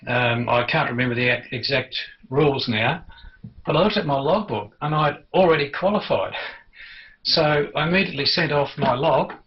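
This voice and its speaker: An older Australian man reminiscing without a script in a halting, storytelling way, as an amateur television hobbyist. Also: medium pitch, animated, highs cut off. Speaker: amateur television enthusiast recounting the past. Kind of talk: unscripted personal reminiscence.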